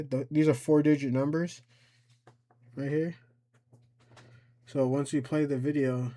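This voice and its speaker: A man presenting an informal step-by-step software tutorial, rambling a little and thinking out loud as he goes. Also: medium pitch. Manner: informal, rambling, thinks aloud and talks to himself. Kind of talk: casual screen-recorded software tutorial.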